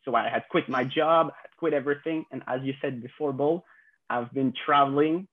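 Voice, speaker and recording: A man in his twenties with a French accent, a podcast guest telling his story in a halting way, with many fillers and restarts. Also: mid-range voice, phone-quality sound. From France. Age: 20s. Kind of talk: casual interview storytelling.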